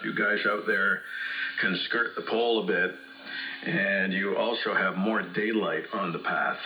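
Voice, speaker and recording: Deep, very sharp man over AM radio. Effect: AM shortwave radio. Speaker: amateur radio operator. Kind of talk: unscripted radio contact chat. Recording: echoey, faintly noisy AM radio signal.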